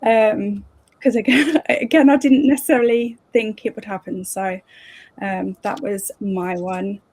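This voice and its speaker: A woman's voice: mid-range voice, steady tone, measured pace, darkish tone, no echo. A woman hosting a casual livestream party on a video call, chatting loosely with plenty of fillers and little giggles. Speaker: host.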